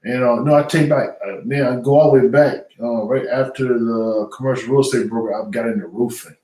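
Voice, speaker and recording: A man's voice: mid-range voice, steady tone, fairly quick, warm tone. An earnest middle-aged man talks through his answers with plenty of fillers and restarts. He sits back from the microphone, so his voice comes across distant. The room around him is echoey. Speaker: guest, retired boxer turned businessman. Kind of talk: conversational interview. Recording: echoey room audio, voice from far off the mic.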